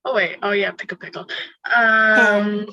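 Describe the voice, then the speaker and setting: A man's voice: high voice, steady tone, measured pace, vocal fry, bright, forceful tone, no echo, lo-fi sound. A man giving a hesitant, rambling self-introduction on a video call. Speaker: participant.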